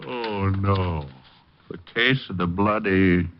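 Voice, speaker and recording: A man's voice: low-pitched, takes it slowly. An actor playing a wisecracking male private detective in a 1950s radio drama, wry and teasing with dry jokes. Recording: dull 1950s radio broadcast recording.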